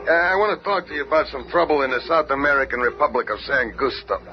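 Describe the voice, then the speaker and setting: A man's voice: medium pitch, quick pace, close to an everyday voice. An actor playing a tough male army colonel who talks in clipped, sly street slang, in 1970s radio drama acting. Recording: dull noisy 1970s radio recording.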